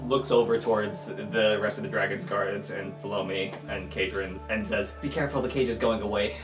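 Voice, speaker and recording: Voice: low voice, steady tone, fairly quick. A player on a tabletop roleplaying podcast, improvising character actions and lines casually. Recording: roomy echo, music behind.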